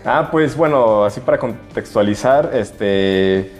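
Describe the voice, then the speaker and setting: A man's voice: fairly low pitch, animated, slow, soft background music. A man with a Mexican accent co-hosting a podcast, telling anecdotes in casual conversation. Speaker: podcast co-host.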